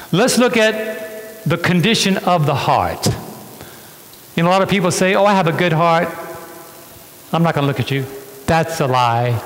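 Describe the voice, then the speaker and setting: A man's voice: a little high, a bit bright. A man preaching a sermon as a pastor, emphatic, stretching key words and putting questions to his listeners. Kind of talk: sermon with rhetorical questions to congregation. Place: church hall, on stage. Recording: reverberant church hall sound.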